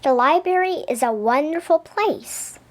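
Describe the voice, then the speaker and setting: A woman voicing an eager, sweet young male library cat in a storybook, earnest and excitable. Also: high voice, unhurried, voice pushed up. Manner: earnest, exclamatory. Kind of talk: storybook character voice.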